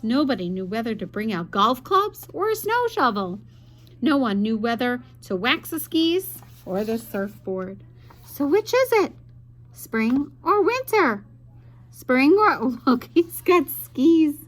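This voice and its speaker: A woman teacher reading a children's picture book aloud expressively, with chatty asides to young listeners. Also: sits high.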